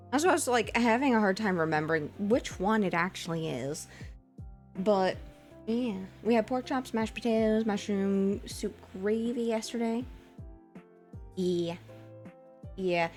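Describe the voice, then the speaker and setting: A woman in her twenties chatting casually as a VTuber streamer, in a lighter, put-on feminine voice, rambling with fillers and giggles. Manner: puts on a lighter, feminized voice above her own low one. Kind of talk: casual live-stream chat with viewers.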